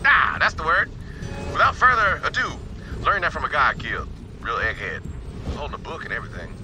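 An actor voicing a wisecracking smuggler in a video game, with a folksy, irreverent, joking manner. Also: male voice, fairly high pitch, expressive, fairly quick, over music, close to an everyday voice, poor audio. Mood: amused. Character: brash, wisecracking male smuggler and gun-for-hire. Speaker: video game quest giver. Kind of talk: video game character acting.

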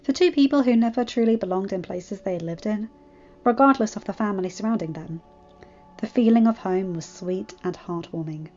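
A woman's voice: medium pitch, fairly quick, a little mellow. A woman reading a story aloud as a scripted narration. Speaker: amateur fan fiction narrator. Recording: muffled lo-fi recording, music behind. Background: music.

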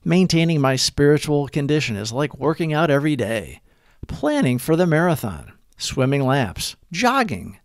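A man narrating scripted devotional readings in a measured, deliberate way. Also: a little high, big pitch swings, dry recording, studio quality. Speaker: narrator of daily recovery readings.

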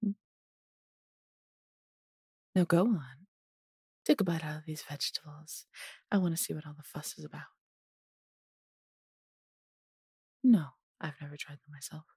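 A woman voicing a large, possessive but doting female dragon in an intimate fantasy audio roleplay, coaxing and teasing in a breathy, husky voice. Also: deep voice, moderate pace, long pauses, mellow, no echo, clean studio sound, exaggerated voice. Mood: tender, coaxing.

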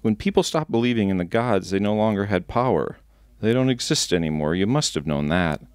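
A man reading aloud as a wry, loving mother who gently teases her daughter. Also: fairly low pitch, animated, fairly quick, smooth, no echo, lightly acted.

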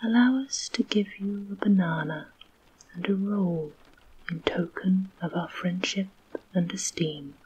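A woman voicing a bold, friendly boy in a slow, breathy whisper in a storybook reading. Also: mid-range voice, a little mellow, highs cut off.

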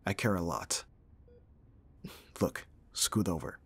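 Man acting a low husky bodyguard in love. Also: expressive, slow pace, exaggerated voice. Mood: caring, reassuring. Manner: soft, intimate, sighing, teasing. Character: gruff but tender young male bodyguard in love. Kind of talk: ASMR roleplay acting.

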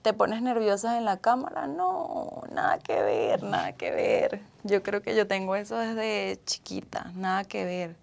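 A woman's voice: medium pitch, very animated, unhurried, gravelly, few pauses. A young woman with a Venezuelan accent chats casually and expressively as she hosts a live stream answering viewer comments. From Caracas, Venezuela. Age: young adult. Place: small indoor room with couch and acoustic foam.

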